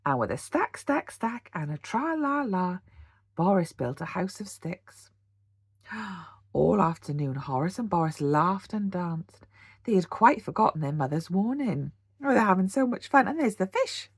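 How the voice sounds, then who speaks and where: Slow, expressive British woman reading stories. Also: fairly low pitch, slightly rough, no room sound, clean studio sound. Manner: animated, with gasps and sound words. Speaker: teacher reading a children's story. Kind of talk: expressive bedtime storybook read-aloud.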